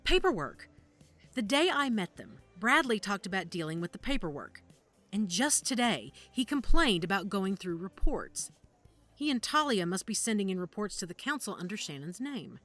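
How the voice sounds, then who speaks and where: Faint music sits under a grave, deliberate woman's voice at a middle pitch. An actress plays a werewolf investigator in an audio drama, guarded and worried yet determined. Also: sing-song, a bit bright. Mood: urgent.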